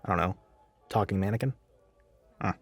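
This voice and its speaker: A man's voice: low-pitched, moderate pace, creaky, faint music behind. A man acting out a catty, mischievous retail worker in an audio roleplay, with a sassy, teasing, theatrical delivery. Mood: exasperated, gossipy.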